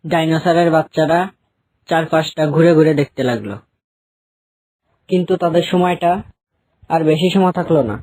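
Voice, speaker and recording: Mellow man narrating, dry sound. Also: a little high, normal speed, pauses often, no echo, rough recording. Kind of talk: scripted children's story narration.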